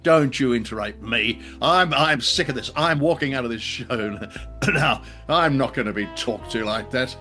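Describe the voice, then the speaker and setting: An older man chatting casually as a show co-host, jokey and anecdotal, with halting hesitations. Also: mid-range voice, pushed and bright, faint music behind. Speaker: co-host of a radio drama show. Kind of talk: casual bantering chat.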